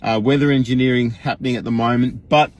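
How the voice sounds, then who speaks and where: An Australian man imitating an earnest, rambling online guru in a folksy, emphatic livestream monologue, with a husky voice. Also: mid-range voice, steady tone, fast, dull audio, natural voice. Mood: earnest, alarmed. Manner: folksy, emphatic, rhetorical questions, frequent chuckles.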